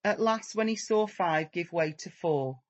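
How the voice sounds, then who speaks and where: A man narrating a literary story as a measured, dramatic audiobook reading. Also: sits high, expressive, dull audio.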